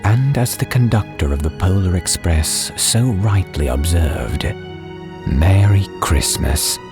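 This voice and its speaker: Deep-voiced, slow man narrating a storybook. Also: animated. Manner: measured, deliberate storytelling. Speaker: audiobook narrator. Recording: background music under the voice. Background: background music and soundscape.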